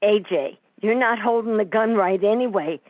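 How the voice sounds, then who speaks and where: A woman's voice: medium pitch, moderate pace, no echo, natural voice. A woman playing a nagging, sarcastic mob wife and mother in an amateur old-time radio comedy, heard through a thin sound. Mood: scornful. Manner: sarcastic, needling mobster-wife slang. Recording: thin phone-like sound, dry.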